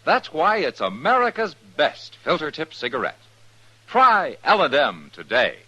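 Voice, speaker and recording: A man reading a 1950s radio commercial as announcer, husky, with polished, measured phrasing. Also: a little high, huge pitch swings, sharp tone, rough recording. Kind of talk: 1950s radio advertising read.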